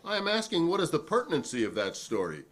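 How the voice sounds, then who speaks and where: A man's voice: a little high, huge pitch swings. A man reading the part of a defiant, principled witness at a 1950s congressional hearing, speaking formally and emphatically in a dramatic reading. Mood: challenging. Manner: emphatic, formal, deliberate repetition.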